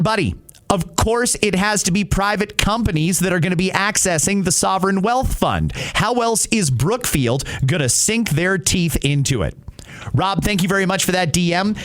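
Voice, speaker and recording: Animated opinionated male talk host. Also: on the high side. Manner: opinionated, conversational, emphatic. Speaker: radio talk show host. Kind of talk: reading and answering listener messages.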